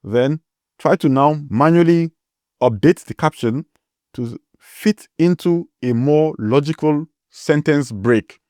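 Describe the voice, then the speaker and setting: A man's voice: fairly high pitch, slow pace, mellow, no echo, clean studio sound. A man with a strong Nigerian accent hosting a tutorial, explaining step by step and spelling words out letter by letter. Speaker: tutorial host.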